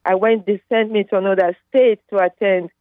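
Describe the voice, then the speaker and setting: A woman's voice: medium pitch, fairly even intonation, fairly quick, dry recording. Nigerian woman on a tinny phone line. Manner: rambling, many fillers and restarts. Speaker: caller. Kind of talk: casual phone call.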